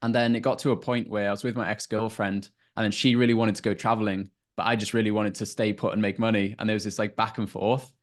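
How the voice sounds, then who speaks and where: A young man from North Wales with a North Welsh accent answers questions in a plain, explanatory way, like someone telling his own story. His voice sits a little low for a man. He talks in a relaxed, conversational register. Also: quick pace, hardly any pauses. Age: 20s. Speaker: relationship coach, guest. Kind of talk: casual interview answers.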